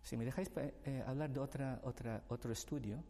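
A man from the Philippines speaks as a guest on a discussion panel, explaining his points in a measured way and backing them with studies. His voice is mellow and darkish, with a long reverb trailing behind it. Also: mid-range voice. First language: Filipino language.

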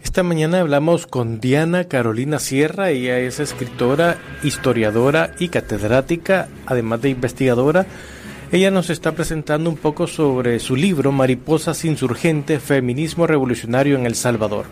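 A man's voice: mid-range voice, moderate pace, darkish tone, a little hiss or hum. A man interviewing a guest, asking questions and reading introductions in a formal way.

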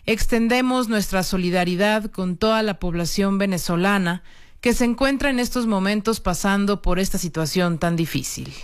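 Smooth-voiced radio host introducing segments. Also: mid-range voice, moderate pace. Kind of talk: scripted radio presenting.

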